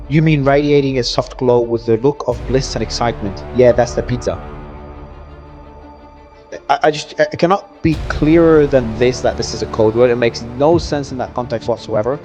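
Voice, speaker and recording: A man in a conversational discussion, reading messages aloud and commenting, in a halting way with repeated phrases. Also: mid-range voice, darkish tone, soft background music.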